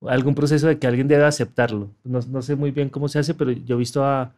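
A man's voice: medium pitch, steady tone, fast talker, darkish tone. A middle-aged man co-hosting a podcast, talking casually and hesitantly, repeating words as he thinks. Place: small podcast studio.

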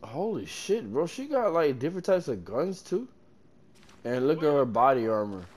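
A young gamer talking excitably through his playthrough, his pitch jumping around a lot as he reacts. His voice sounds a little stuffy from seasonal allergies. He throws in slang and "bro" constantly. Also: moderate pace. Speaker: gaming streamer. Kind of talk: casual gameplay commentary.

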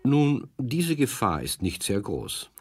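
An actor playing a wry male storyteller, narrating a children's audio drama in a husky voice. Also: medium pitch, huge pitch swings, takes it slowly, a little mellow. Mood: amused storytelling.

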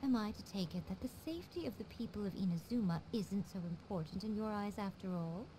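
An actress playing a teasing, regal fox-eared priestess who runs a publishing house, in a game. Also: female voice, mid-range voice, lively intonation, dark tone, a little hiss or hum, lightly acted, poor audio. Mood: sly. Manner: teasing, smooth and unhurried. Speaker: chief editor. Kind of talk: game cutscene voice acting.

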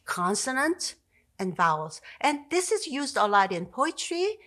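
A woman in her sixties, a native Korean speaker with a Korean accent, explaining language in an animated podcast chat, with many fillers and restarts. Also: sits high, unhurried. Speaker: Korean language professor, guest. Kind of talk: conversational explanation. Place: home study with bookshelves. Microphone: podcast mic on stand.

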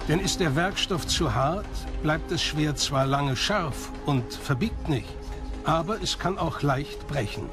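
Higher-pitched male documentary narrator over music. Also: unhurried. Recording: music behind the voice. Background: background music.

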